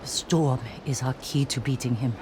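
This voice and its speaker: An actor playing a pragmatic, loyal fighter in a video game, talking in plain, clipped, practical phrases. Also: male voice, medium pitch, fairly even intonation, moderate pace, noisy background, natural voice. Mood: determined. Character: pragmatic male fighter, loyal companion scouting enemies. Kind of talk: video game cutscene acting.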